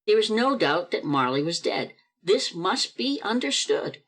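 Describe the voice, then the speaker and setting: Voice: medium pitch, very animated, a bit bright. Theatrical narrator with emphatic phrasing. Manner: deliberate, emphatic, dramatic phrasing. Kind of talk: theatrical storybook narration for a radio play. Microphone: video call microphone.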